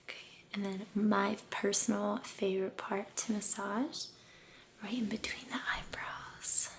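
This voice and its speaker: A soft-spoken woman doing an ASMR spa role-play as an aesthetician, breathy and often whispering, gentle, soothing and encouraging. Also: medium pitch, level tone, a little slow. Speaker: ASMR creator playing a med spa aesthetician.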